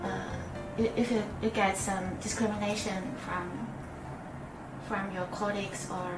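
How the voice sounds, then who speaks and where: A woman's voice: medium pitch, flat delivery, unhurried. Echoey woman co-host from China. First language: Chinese. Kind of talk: casual interview chat. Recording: distant, echoey, with music behind.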